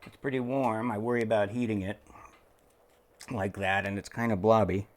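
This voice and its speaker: Man, deep voice, casual tutorial host, hesitant delivery, thinking aloud while working. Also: fairly even intonation, moderate pace, a little hiss or hum. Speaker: host of a repair tutorial channel. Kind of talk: casual hands-on how-to commentary.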